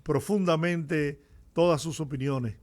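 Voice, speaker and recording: A male radio host conducting an interview, his voice a touch high for a man. He puts his questions and thanks his guest in a formal, polite way. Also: fairly even intonation, unhurried, a little mellow.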